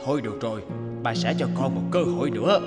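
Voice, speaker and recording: Casting: male actor as middle-aged cartoon dad, exasperated, firm, scolding, lively intonation, music underneath. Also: mid-range voice, quick pace, big-room sound, natural voice. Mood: weary, firm.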